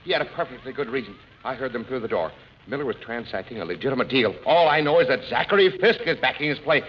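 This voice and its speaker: A man's voice: mid-range voice, animated, fast, natural voice. Man as a proper, touchy hotel doctor. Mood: stiff. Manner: formal, indignant. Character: proper, easily offended male house physician. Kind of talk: 1940s radio comedy acting. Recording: muffled, hissy 1940s radio.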